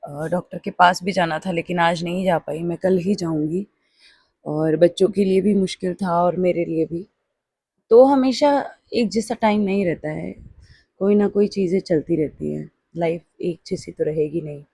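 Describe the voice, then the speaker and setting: A woman narrates her personal vlog reflectively. Her voice is dark and relaxed, with audible creak at the edges. The recording is close and dry. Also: lowish voice, moderate pace, no room sound. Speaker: daily vlogger.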